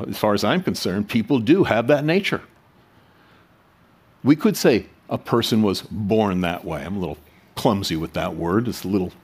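Animated male preacher with swelling emphasis. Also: medium pitch. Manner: conversational preaching with emphatic swells and rhetorical questions. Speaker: pastor. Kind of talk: sermon.

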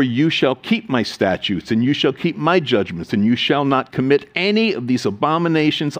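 A man preaching a sermon as pastor, reading scripture solemnly and adding joking, animated asides. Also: medium pitch.

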